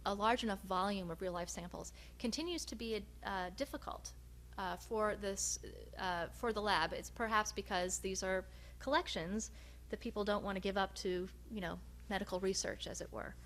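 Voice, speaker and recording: A woman researcher giving a research presentation, speaking in a measured, hesitant way with frequent pauses. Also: medium pitch, a bit bright. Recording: noticeable background hum and noise.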